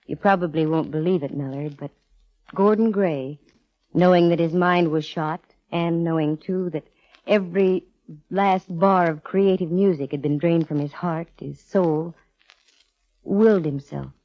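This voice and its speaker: An American actress playing a sharp female private eye in 1940s radio drama, explaining things with dramatic, measured pauses. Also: on the low side, no echo. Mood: solemn, explanatory. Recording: dull vintage radio recording with music.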